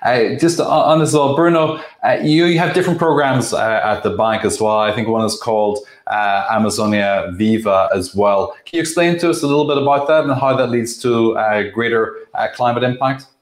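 A man moderating an online panel, brisk and steering the questions. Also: medium pitch. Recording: echoey webinar audio.

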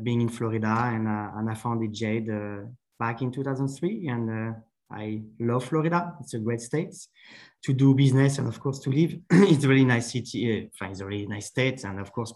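A man from France with a French accent, an accountant answering interview questions haltingly with many fillers. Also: medium pitch, fairly even intonation, a little mellow. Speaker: accountant, podcast guest.

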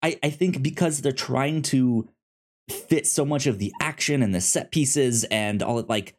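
A man co-hosting a casual movie review discussion, speaking in a halting way with many repeated words and restarts. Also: medium pitch. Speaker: podcast co-host reviewing movies.

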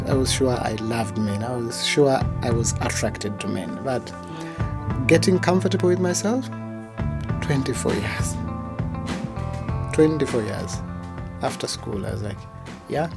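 A man from Uganda, speaking about his life in an animated, emphatic way and repeating key phrases. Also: mid-range voice, vocal fry. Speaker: interviewee. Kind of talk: personal testimony.

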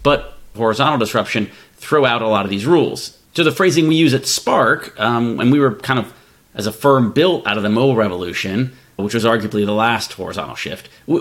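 A man's voice: mid-range voice, fairly bright tone. A man co-hosting a podcast, explaining tech ideas in a conversational, analytical way and thinking aloud with examples. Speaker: podcast co-host, venture capitalist. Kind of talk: casual, explanatory tech discussion.

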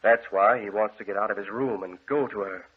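An actor playing a grave, controlling gentleman in a 1940s radio horror drama, speaking with formal, solemn command and a husky voice. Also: lowish voice, brisk. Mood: firm, commanding. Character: grave, controlling gentleman guarding his deranged brother. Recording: tinny, band-limited old radio recording.

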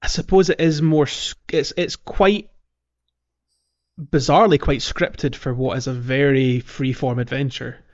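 A man's voice: mid-range voice, fairly even intonation, measured pace, dull audio. A man co-hosting a podcast in a casual, conversational way about a video game. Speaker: podcast co-host.

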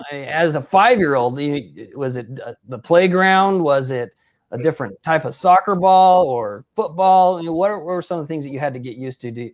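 A man hosting a casual sports interview, upbeat and effusive in his praise of his guest. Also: fairly high pitch. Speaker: interview host.

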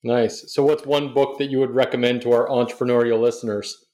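A man's voice: mid-range voice, little pitch movement, fairly quick, mellow. Upbeat male podcast host. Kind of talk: interview questions.

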